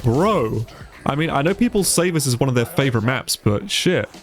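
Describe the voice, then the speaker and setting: A man's voice: medium pitch, animated, normal speed. A man with a stuffy, congested nose giving casual, sweary let's play commentary and sounding easily amazed. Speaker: gaming YouTuber, player.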